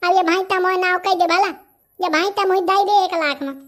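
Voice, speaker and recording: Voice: extremely high pitch, fairly even intonation, moderate pace, fairly bright tone. An actor with a Bundelkhandi accent voicing a chatty, stubborn young villager in a comedy cartoon. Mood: stubborn, bargaining.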